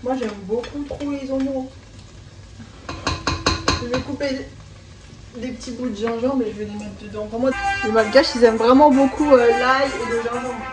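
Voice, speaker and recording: A young woman in her twenties rambles cheerfully through a home cooking vlog, filling pauses with hesitations. Her voice sits a little high. Music plays clearly beneath her. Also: fairly even intonation, poor audio. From France. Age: 20s. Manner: rambling, chatty, full of fillers. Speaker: guest cook. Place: home kitchen.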